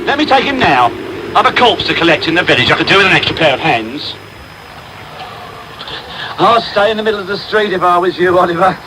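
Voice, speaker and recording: An actor playing a cheerfully macabre, thrifty undertaker in a radio play, wry and chuckling, on a taped medium-wave broadcast. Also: male voice, high-pitched, expressive, brisk, long pauses, background noise. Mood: businesslike, chatty. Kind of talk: naturalistic radio play acting.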